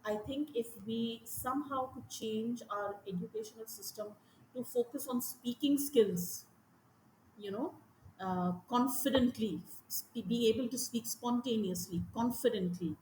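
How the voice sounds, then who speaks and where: A woman with an Indian English accent, a teacher speaking as a podcast guest, talking in an animated, emphatic way and often repeating her points. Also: a little high, measured pace, a little hiss or hum. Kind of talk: conversational interview. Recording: echoey room with faint ambience.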